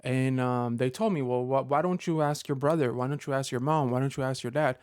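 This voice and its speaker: A man in his thirties giving a personal vlog update to his viewers, talking in a halting way with many fillers and restarts. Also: mid-range voice, steady tone, darkish tone, smooth, no echo, studio quality. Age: 30s. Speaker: Pokemon content creator.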